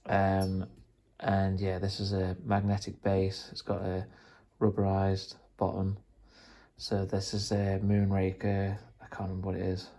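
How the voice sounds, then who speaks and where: A man's voice: low voice, monotone, unhurried, mellow. A man explaining things casually and tentatively in an unscripted, rambling vlog. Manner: tentative, self-deprecating, rambling. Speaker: vlogger, hobbyist trying out radio gear.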